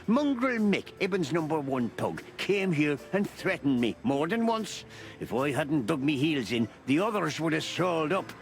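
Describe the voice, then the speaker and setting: A jovial old Irish beaver from a video game, pitched a little high and swinging freely up and down. He teases like a folksy, fatherly uncle and will not be budged. Music plays underneath. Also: vocal fry. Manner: teasing, folksy, chuckling old-timer.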